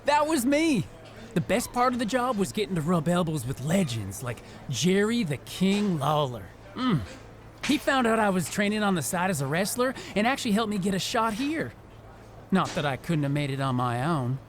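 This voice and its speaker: Excitable high-voiced young rookie wrestler. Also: male voice, moderate pace, slight background noise. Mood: chatty, playful. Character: eager, chatty young male rookie wrestler, starstruck and goofy. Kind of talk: video game cutscene acting.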